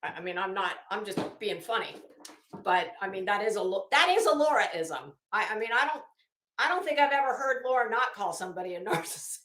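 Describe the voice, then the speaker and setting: A middle-aged woman giving casual live commentary in a halting, deliberate, emphatic way. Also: medium pitch, lively intonation, a bit bright. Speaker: YouTube commentator and host. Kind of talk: casual live commentary with document read-outs. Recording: echoey room.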